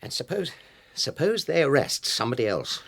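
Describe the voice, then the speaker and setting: Middle-aged father, husky, widely varying pitch, guilt-stricken, hesitant, earnest, subdued, radio drama. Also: sing-song, moderate pace, fairly bright tone, exaggerated voice. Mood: anguished. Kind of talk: naturalistic radio drama acting.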